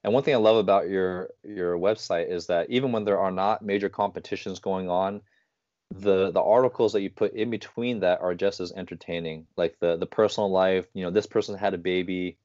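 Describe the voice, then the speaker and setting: A man in his thirties hosting a casual interview, telling stories in quick, animated bursts. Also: sits low, dry recording, muffled recording. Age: 30s. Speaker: podcast host.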